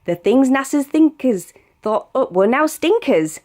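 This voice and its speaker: British woman reading aloud, lively swooping pitch. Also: a little slow. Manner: expressive, sing-song storytelling. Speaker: storybook reader. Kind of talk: read-aloud of a rhyming children's picture book.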